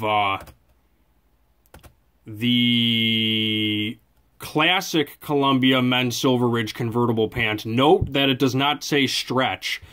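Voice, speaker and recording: A man giving a casual, off-the-cuff product review at a desk, often correcting himself as he goes. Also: medium pitch. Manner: chatty, off-the-cuff, with self-corrections. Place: indoors at a desk.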